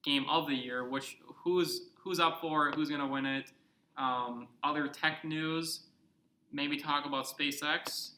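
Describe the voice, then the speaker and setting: A man's voice: on the high side, fairly even intonation, unhurried, sharp tone, reverberant. A young man co-hosting a casual podcast, rambling and joking, asking lots of questions. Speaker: podcast co-host. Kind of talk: casual chat.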